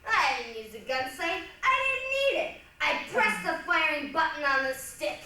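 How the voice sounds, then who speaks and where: Casting: female readers' theatre narrator, very high animated voice, theatrical, captured from afar. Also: normal speed. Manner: projected, theatrical. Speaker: stage performer. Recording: distant, very echoey stage recording.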